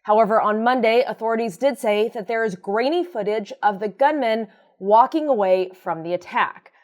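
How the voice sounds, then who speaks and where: A fairly high-pitched female voice presents the news as commentary. She hosts in a conversational, informal register, with a sardonic edge and wry asides. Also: normal speed, darkish tone. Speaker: host of a news commentary show.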